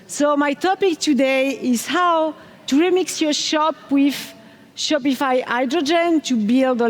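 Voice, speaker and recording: A middle-aged woman with a French accent presents a technical talk at a conference, upbeat and quizzing the crowd now and then. Her voice sits high. The sound carries the long reverb of a big hall. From France. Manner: enthusiastic, asks the audience questions. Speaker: conference speaker. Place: large conference hall on stage.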